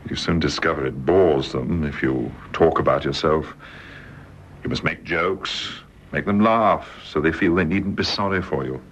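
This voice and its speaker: A British actor in a radio drama playing a bedridden husband, devoted, wistful and resigned, speaking earnestly and haltingly. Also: male voice, extremely low pitch, fairly bright tone. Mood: reflective. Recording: dull lo-fi radio drama with hiss.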